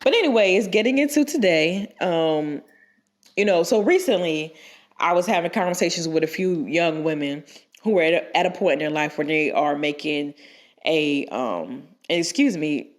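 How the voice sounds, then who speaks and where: A woman's voice: sits low, normal speed. A young woman co-hosting a podcast, stuffed up from a cold, rambling through advice with many restarts and fillers. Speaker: podcast co-host. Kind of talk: casual advice chat.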